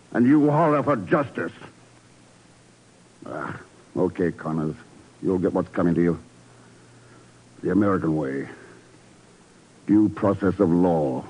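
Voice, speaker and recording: A man's voice: on the low side, animated, measured pace, long pauses, slightly rough. An actor playing a cool, authoritative male secret agent in a 1950s radio drama, with a dull vintage broadcast sound. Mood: stern, then grim resolve.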